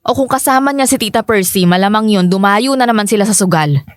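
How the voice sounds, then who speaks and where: An actress playing a caring young breadwinner sister who scolds with exasperation in a radio drama. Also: female voice, mid-range voice, fast, dry recording, lightly acted. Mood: exasperated. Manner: scolding yet caring, sighing exclamations. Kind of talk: radio drama dialogue acting. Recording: close dry studio, music underneath.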